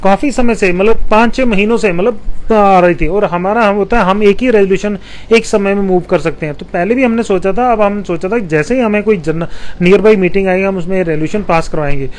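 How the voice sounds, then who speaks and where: A male councillor, answering a reporter's questions in a measured, explanatory way with frequent restarts. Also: high voice. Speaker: municipal councillor. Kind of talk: press interview answers.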